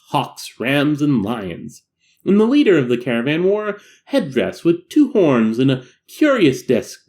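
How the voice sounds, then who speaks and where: A man reading a classic tale aloud as a narrator, in a dramatic, measured and solemn storytelling manner. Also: on the high side, darkish tone. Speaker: amateur audiobook narrator.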